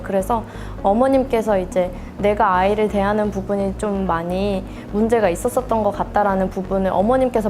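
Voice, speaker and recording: Sincere woman interviewed over clear music. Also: mid-range voice. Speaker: tutor at an education company. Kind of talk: testimonial interview.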